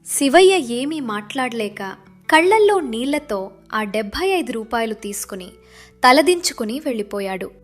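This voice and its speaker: A woman's voice: fairly high pitch, animated, moderate pace, faint music behind. A woman narrator reading a scripted village moral story in a dramatic storytelling style.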